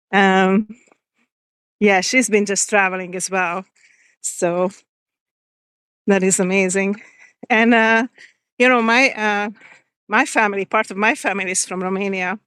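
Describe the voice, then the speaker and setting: Woman host of a walking live stream, smooth and clear, talks casually to viewers. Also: mid-range voice, a bit bright, dry recording. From Hungary. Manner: chatty, reads viewer comments aloud, pauses and restarts. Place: outdoors on a forest walk.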